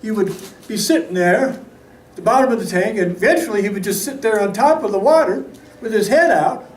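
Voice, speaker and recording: A man's voice: high voice, a little fast. A man telling a folksy, humorous tall tale to a live audience, theatrically acting out the story as he goes. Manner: folksy, animated, acts out dialogue. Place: indoor meeting room at a live event. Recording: echoey room, distant mic, background noise.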